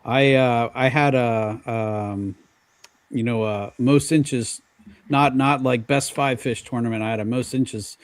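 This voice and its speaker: American male panelist, mid-pitched, dry close sound, hesitant casual storytelling. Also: fairly even intonation, takes it slowly, no room sound. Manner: hesitant, searching for words. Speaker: fishing podcast panelist. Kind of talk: casual anecdotal chat.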